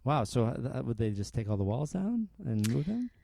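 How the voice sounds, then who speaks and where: A man hosting a casual interview, asking curious follow-up questions with many pauses and uhs. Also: mid-range voice, sing-song, vocal fry, mellow, dry recording. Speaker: podcast host.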